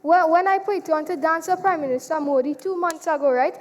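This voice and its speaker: A woman's voice: extremely high pitch, a little fast, a little mellow. A female performer playing a vain young village boy, bragging theatrically in a stage play. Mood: boastful. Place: theatre stage in a large venue.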